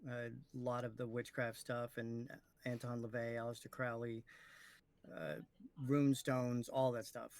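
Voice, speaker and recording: A man past his twenties co-hosting a casual discussion, explaining his points in a measured, conversational way. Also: mid-range voice, level tone, a little mellow. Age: 30s or older. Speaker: podcast co-host.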